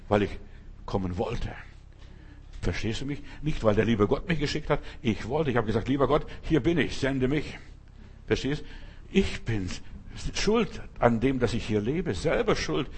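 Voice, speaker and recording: A man's voice: mid-range voice, breathy edge. A man preaching a sermon, speaking emphatically in repeated refrains and addressing the listener directly.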